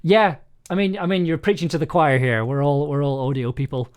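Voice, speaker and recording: A stream host with a mid-pitched voice talks casually to viewers. The delivery rambles and halts, often doubling back over the same words before moving on. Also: steady tone, brisk, darkish tone, clean tone. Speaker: stream host and audio drama writer. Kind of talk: casual live stream chat.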